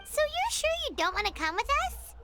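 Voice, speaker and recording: A woman voicing a tiny, perky, childlike companion creature in a video game, squeaky and inquisitive. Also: very animated, fairly quick, very noisy, heavily acted. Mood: curious. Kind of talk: video game character dialogue.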